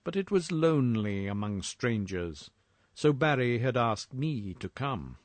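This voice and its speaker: A man reading a first-person horror story in a measured, formal, dramatic storytelling manner. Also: mid-range voice, dry recording, clean studio sound.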